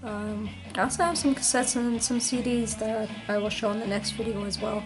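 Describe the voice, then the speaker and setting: Hoarse woman, higher voice, rambling casual record-collector vlog, faint music behind. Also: fairly even intonation, moderate pace, low-quality recording. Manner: chatty, rambling, many ums and restarts. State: hoarse, late-night tired. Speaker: vinyl collector vlogger. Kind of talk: casual show-and-tell vlog.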